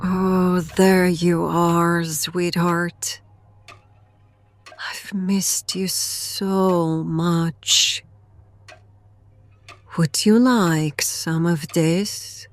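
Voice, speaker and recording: Very slow, eerie deranged mother. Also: on the low side, steady tone. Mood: eerily sweet. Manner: slow, eerie crooning with whispers. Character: ghostly deranged dying mother, sweetly menacing, accusing. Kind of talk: horror audio drama acting.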